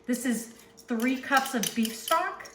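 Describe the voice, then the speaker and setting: A woman's voice: high-pitched, expressive, slow, noisy background. Chatty Northeastern woman in an echoey kitchen. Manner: chatty, upbeat, talks while working. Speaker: cooking channel host. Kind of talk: casual cooking demonstration. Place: home kitchen.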